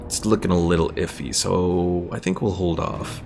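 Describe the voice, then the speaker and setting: Male streamer, low creaky voice, casual thinking-aloud commentary on a game, music underneath. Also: steady tone, moderate pace, a little mellow.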